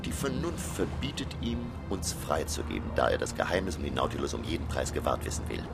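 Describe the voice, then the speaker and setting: An actor playing a courteous, cautious professor who reasons deliberately in a radio play. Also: male voice, rumbling low, huge pitch swings, creaky, full character voice. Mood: firm, reasoning. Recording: music behind the voice. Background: music.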